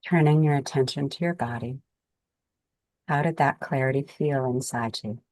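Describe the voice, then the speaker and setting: A woman with a very deep voice guides a meditation. She speaks calmly and soothingly, offering gentle instructions in unhurried phrases with space between them. The sound is dry and close, with little room around it. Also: no echo, rough recording.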